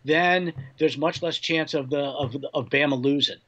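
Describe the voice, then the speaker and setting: An American man, a sportswriter guest, talking through college football analysis in a measured, conversational way. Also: on the high side, fairly bright tone, dull audio. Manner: measured, reasoning through points.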